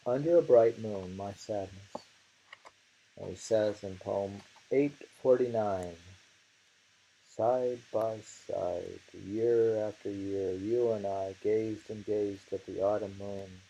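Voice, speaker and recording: A man reads poems and comments on them casually, taking his time and pausing often. His voice is fairly low and heavily creaky, with halting phrasing and repeated lines. A faint hiss sits under the recording. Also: steady tone, mellow, highs cut off. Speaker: reader of translated poetry. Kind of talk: slow poetry reading with casual commentary.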